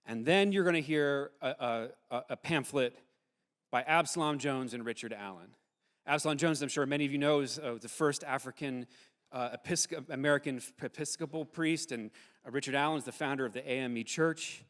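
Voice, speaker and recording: A man's voice: mid-range voice, fairly quick, fairly bright tone. Man addressing a hall, long reverb. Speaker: host introducing a dramatic reading. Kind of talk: informal live introduction to an audience. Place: large hall, speaking live to an audience.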